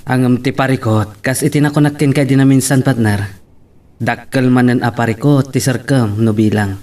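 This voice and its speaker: A man's voice: mid-range voice, steady tone, moderate pace, a little mellow, close to an everyday voice. A man playing a frank friend who gives persuasive, questioning advice in an audio love drama. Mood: cautioning. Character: frank male friend advising his partner in love. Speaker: voice actor in a drama.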